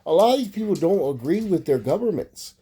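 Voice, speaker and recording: A man's voice: sits high, very animated, fairly quick, warm tone, breathy edge. A man from Maryland, USA, delivering a profane, rambling casual monologue as a vlogger.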